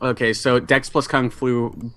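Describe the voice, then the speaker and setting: A man's voice: mid-range voice, level tone, a little slow, fairly bright tone. A man playing a tabletop roleplaying game, chatting casually and thinking aloud with some hesitation.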